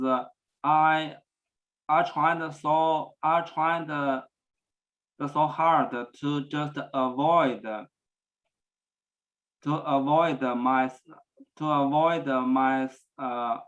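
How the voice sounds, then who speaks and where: Man stuttering thru a talk, slow and flat, kinda dull lo-fi audio. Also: fairly high pitch. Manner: stuttering with many repetitions and pauses. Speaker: presenter at a speech celebration. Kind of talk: personal talk about stuttering. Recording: dull lo-fi sound.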